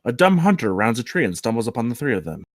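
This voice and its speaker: Fast-talking man reading screenplay action. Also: mid-range voice, big pitch swings, dry recording, clean studio sound. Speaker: script reader, narrator.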